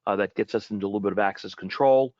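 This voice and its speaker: A man giving an informal webinar product presentation in a halting way, often repeating and rephrasing his words. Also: fairly low pitch, a little mellow, no echo, dull audio.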